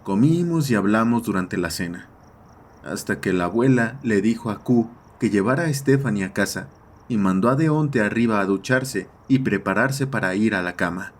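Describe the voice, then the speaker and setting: A man's voice: lowish voice, lively intonation, moderate pace. A man reading a scripted horror story as its narrator.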